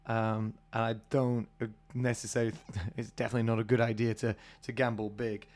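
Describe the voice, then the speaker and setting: A British man hosting a casual solo podcast, husky from a cold, chatty and rambling with many fillers. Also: medium pitch, flat delivery, brisk, darkish tone. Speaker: podcast host.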